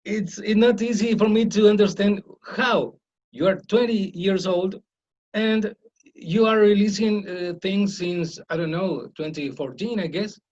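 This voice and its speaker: A man hosting a radio interview in careful, hesitant English full of pauses and "uh"s. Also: high-pitched, a little mellow, muffled recording. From Argentina. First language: Spanish. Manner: hesitant, halting English with many "uh"s. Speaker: radio host.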